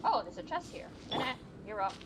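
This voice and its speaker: A woman's voice: on the high side, big pitch swings, normal speed, very noisy. A woman giving casual live game commentary as a streamer, chattily reacting aloud to the game and her chat. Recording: game music behind the voice. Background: game music.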